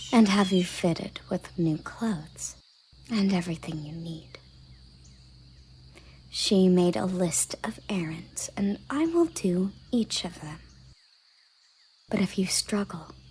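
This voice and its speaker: A woman playing a stern, haughty elven guard captain in an audio roleplay, with a soft, breathy, husky voice and a curt, condescending manner. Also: medium pitch, slight background noise. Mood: stern warning. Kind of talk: audio roleplay addressed to the listener.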